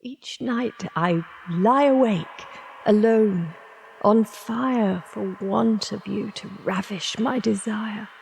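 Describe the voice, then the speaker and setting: A woman's voice: mid-range voice, slow, vocal fry, a little mellow, a little hiss or hum. An actress playing a passionate, romantic woman in love with a younger man, yearning and poetic, in an audio drama. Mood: rapturous.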